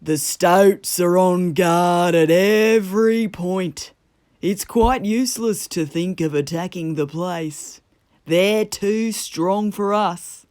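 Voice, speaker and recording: A woman voicing a grave but encouraging old male badger from a children's storybook, speaking slowly and emphatically. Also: on the low side, a little mellow, clear, resonant tone, no echo, studio quality. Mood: grave warning. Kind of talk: children's storybook character reading.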